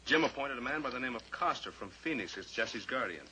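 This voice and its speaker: An actor playing a steadfast, brave cowboy pilot in an old-time radio drama, firm and terse. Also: male voice, medium pitch, lively intonation, a little fast, bright, forceful tone. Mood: calm resolve. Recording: old radio recording with faint hiss.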